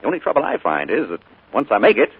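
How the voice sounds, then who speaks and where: Charming, evasive middle-aged uncle, raspy, lo-fi 1940s radio. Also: male voice, medium pitch, wildly swooping pitch, rapid-fire, heavily acted. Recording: tinny old radio broadcast.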